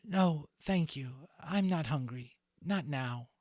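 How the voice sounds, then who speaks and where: A man performs a sickly little mouse boy from a storybook. The voice is raised high, weak and polite, in short phrases, recorded dry. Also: slow, a little mellow, dry recording. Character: frail, sick young mouse boy, gentle and polite.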